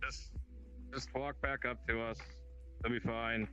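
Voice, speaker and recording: A man's voice: medium pitch, level tone, unhurried, pushed and bright, highs cut off, faint music behind. A man playing a bossy, scheming leader in an improvised online roleplay, offhand yet commanding and probing.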